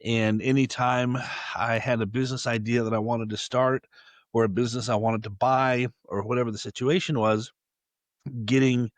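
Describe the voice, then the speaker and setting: A man's voice: medium pitch, level tone, moderate pace, dry recording. American man hosting a podcast, dry sound. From United States. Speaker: podcast host. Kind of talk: conversational podcast discussion.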